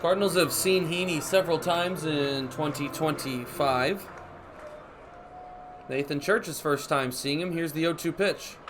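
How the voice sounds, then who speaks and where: Higher-voiced man calling baseball on radio. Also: over music. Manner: descriptive, measured delivery that rises on action. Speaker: baseball play-by-play announcer. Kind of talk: radio baseball play-by-play commentary. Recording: clear background ambience noise.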